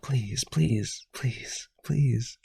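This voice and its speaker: A man playing a powerful, rich vampire aristocrat, desperately devoted, with breathy, raspy, intense and coaxing speech in a roleplay audio. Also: mid-range voice, mellow, no room sound, full character voice. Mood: desperate, begging. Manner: intense, coaxing, begging, halting.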